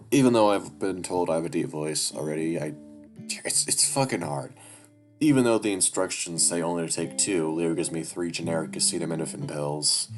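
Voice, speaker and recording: A man reading a story game aloud and commenting on it, swearing often and restarting his sentences. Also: deep voice. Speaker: gamer playing a story game. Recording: music playing clearly behind.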